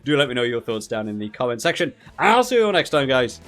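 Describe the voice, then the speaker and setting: Man, medium pitch, casual gaming review, unscripted, conversational, thinking aloud, hedged opinions, music in the background. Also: sing-song, fast talker, fairly bright tone. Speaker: gaming video creator reviewing a game update.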